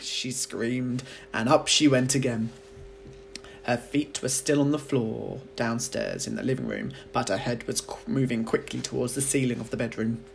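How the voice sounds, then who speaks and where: A man reading a children's story aloud like a teacher, with expressive narration. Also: mid-range voice, normal speed, vocal fry, slight background noise, poor audio.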